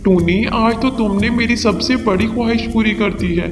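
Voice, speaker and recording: A man's voice: high-pitched, normal speed, natural voice. A man voicing a harsh, domineering father bird in a moral-story cartoon, with a scolding, boastful way of talking. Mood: elated, boastful. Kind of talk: Hindi cartoon voice acting. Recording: reverberant hall sound over music.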